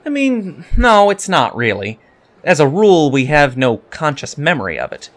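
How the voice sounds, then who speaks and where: A man's voice: a little high, very animated, normal speed, fairly bright tone. A man playing an earnest young alien cadet in an audio drama, speaking politely and precisely with hesitant ums. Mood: frank, earnest. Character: earnest young male alien Starfleet cadet, eager and scholarly. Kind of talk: audio drama interview acting.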